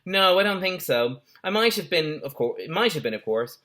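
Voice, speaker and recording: A man's voice: on the high side, sing-song, moderate pace, sharp tone, pitched above the performer's own voice. A man voicing an earnest, agitated schoolboy telling a mystery in a children's story, emphatic and stumbling a little.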